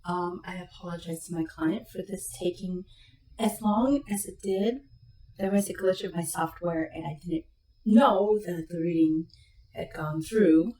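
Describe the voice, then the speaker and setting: Woman, tarot reader, casual rambling delivery, slight cough, low register, roomy off-mic sound. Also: a little slow, far from the mic. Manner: chatty, meandering, soft-spoken asides. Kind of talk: casual spoken tarot reading. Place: home room with webcam. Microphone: new desk microphone. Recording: echoey room, voice a bit off-mic.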